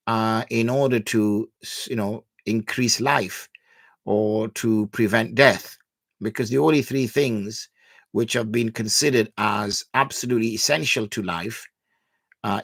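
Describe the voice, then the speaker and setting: A male religious scholar giving answers at a patient, measured pace, building his points with plain examples. His voice sits at an ordinary middle pitch. The sound is close and dry, with no room echo. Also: gravelly. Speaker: Islamic scholar answering viewer questions. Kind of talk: religious Q&A explanation.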